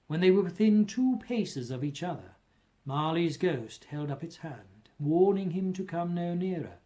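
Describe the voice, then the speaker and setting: A man reading a classic story aloud as an amateur narrator, with expressive scripted delivery. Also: on the high side, measured pace. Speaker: amateur audiobook reader.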